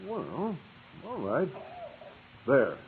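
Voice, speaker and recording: Expressive, mild American man, old radio. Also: mid-range voice, drawn-out words, full character voice. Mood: puzzled. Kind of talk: vintage American radio sitcom acting. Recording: tinny old radio broadcast, faint hiss.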